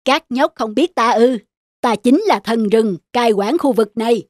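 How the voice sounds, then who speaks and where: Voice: mid-range voice, a little slow, no echo, clean studio sound, natural voice. An actor voicing a sly, scheming wildcat who gloats and talks pompously as a false forest god. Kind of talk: children's animated fairy tale villain. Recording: music behind the voice.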